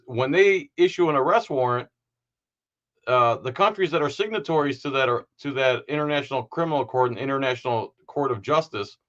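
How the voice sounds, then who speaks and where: A man's voice: fairly high pitch, a bit bright, lo-fi sound. A man hosting a podcast, giving rambling, sarcastic political commentary. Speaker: podcast host.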